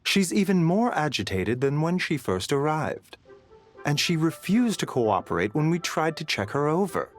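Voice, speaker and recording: Game role: polite male physician, measured and gentle, very varied intonation, light background music. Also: on the high side, big pitch swings. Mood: courteous, calm. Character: gentle, unhurried, courteous male doctor. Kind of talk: scripted video game dialogue.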